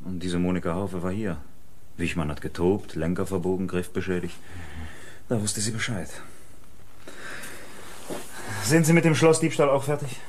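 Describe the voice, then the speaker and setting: A man plays a police investigator in a radio crime drama. The voice is low, with a brisk, explanatory, matter-of-fact delivery. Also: a little mellow, a little hiss or hum, close to an everyday voice.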